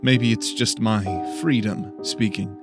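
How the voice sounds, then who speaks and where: A man's voice: medium pitch, steady tone, takes it slowly. A man reading scripted fiction as a dramatic audiobook narrator. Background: faint music.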